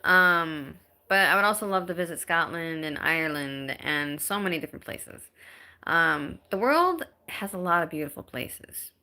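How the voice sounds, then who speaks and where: A woman in her thirties with a bright, clear voice, talking casually to viewers on a phone live stream. She chats in a halting, easygoing way, full of ums and restarts, and reads comments aloud from home. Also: deep voice, animated. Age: 30s.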